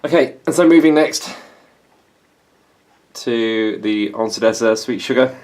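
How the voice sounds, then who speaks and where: A man's voice: mid-range voice, a little slow, darkish tone. A young man with an English accent, from England, chatting casually and poking fun at himself in a plant vlog. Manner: self-mocking, dry humor. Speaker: plant vlogger.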